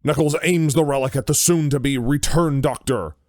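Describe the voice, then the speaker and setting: A man's voice: medium pitch, normal speed, a little mellow, no room sound, studio quality. A man reading scripted narration for an audio drama.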